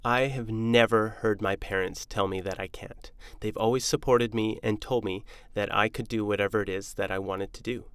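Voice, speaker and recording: Man, earnest, steady, smooth clear voice, slightly low, dry close sound. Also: moderate pace, hardly any pauses, no echo. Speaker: interviewee. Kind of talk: personal testimony.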